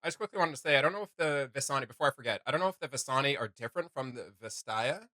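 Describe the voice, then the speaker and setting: Bright-voiced man, rambling fan commentary. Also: mid-range voice, expressive, rapid-fire, no room sound. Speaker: reaction video host.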